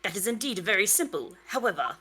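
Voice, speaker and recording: A woman voicing a smug, grandiose gentleman detective with condescending politeness in a live comedic game dub. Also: mid-range voice, very animated, quick pace. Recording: noisy mic with clicks.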